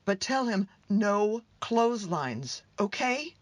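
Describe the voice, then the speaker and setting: Radio-drama wife woman actor: firm, put-upon, husky, with dramatically swinging intonation. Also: medium pitch, very animated, slow. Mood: annoyed, firm. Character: irritated, firm wife worn down by houseguest. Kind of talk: radio play acting.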